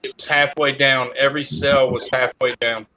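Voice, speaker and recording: A man's voice: medium pitch, little pitch movement, normal speed, bright, forceful tone. A man in his fifties on a live stream panel, joking and teasing in casual chat, laughing often. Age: 50s. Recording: dull lo-fi stream audio.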